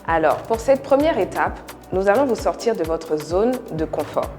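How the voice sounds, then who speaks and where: A woman giving a measured, formal welcome speech to contestants. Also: lowish voice, animated, darkish tone, long reverb tail, faint music behind. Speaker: association president welcoming contestants.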